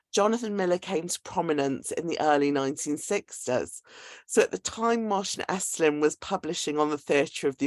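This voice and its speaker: Low-voiced woman introducing a speaker. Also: dry recording. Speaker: host introducing a guest speaker. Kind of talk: semi-scripted event introduction.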